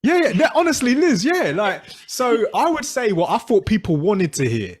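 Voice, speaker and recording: A man's voice: sits high, very animated, normal speed. A man co-hosting a podcast, talking in a rambling, chatty way full of fillers. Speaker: podcast co-host. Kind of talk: casual interview chat.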